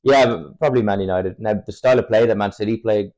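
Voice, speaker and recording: A man's voice: on the low side, fast, a little mellow. A man in his twenties with an Irish accent, a native Irish speaker, chatting candidly as a footballer guest on a podcast, rambling and chuckling often. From Ireland, Cork. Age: 20s. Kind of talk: casual podcast chat.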